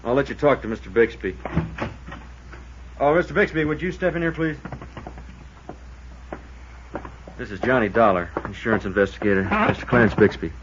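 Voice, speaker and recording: An American man acting a cautious, folksy deputy sheriff with a measured, plain-spoken, probing manner, in 1950s radio drama style. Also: mid-range voice, lightly acted. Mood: matter-of-fact. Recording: dull, noisy vintage radio recording.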